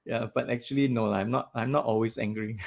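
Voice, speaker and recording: Gravelly-voiced male podcast host. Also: mid-range voice, fairly quick. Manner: loud, animated, laughs often. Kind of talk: casual interview chat.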